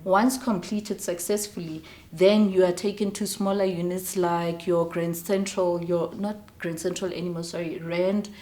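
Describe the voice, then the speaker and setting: Low-voiced South African woman explaining her job. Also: flat delivery, moderate pace, hardly any pauses, dark tone. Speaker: air traffic controller, interview guest. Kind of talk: informal explanatory interview talk.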